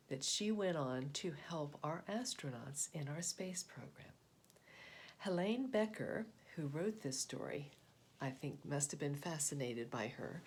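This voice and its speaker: A woman reading a children's picture book aloud, with deliberate, expressive phrasing and short explanatory asides. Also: low voice, slight background noise. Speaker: read-aloud host, storyteller.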